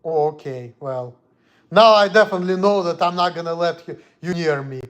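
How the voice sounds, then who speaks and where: Man with a Russian accent, high-pitched, blunt, heated, casual stream chat. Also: moderate pace. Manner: blunt, heated, speaks in broken English.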